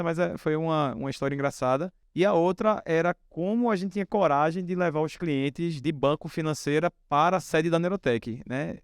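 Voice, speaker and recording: Man from northeast brazil, forties, founder telling anecdotes, smooth clear voice, dry close sound. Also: on the high side, no echo. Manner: relaxed anecdotal, many fillers like "né". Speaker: founder and guest speaker. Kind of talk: storytelling on a stage talk.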